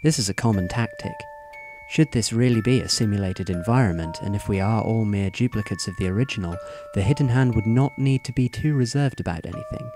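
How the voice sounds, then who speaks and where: A man playing a composed, analytical teenage schoolboy in an audio drama, speaking in a formal, measured, wordy way. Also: fairly low pitch, music in the background, lightly acted. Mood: coolly speculative.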